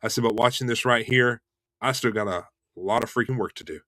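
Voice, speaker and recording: A young male host and tribute singer chatting casually over a video call. His voice sits at an ordinary middle pitch. The sound is dry and close, and he talks in loose, repeating bursts full of "man" and "dude". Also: brisk, no room sound. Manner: relaxed and chatty, repeats words and says "man" and "dude" a lot. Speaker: host and Elvis tribute artist. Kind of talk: casual interview chat.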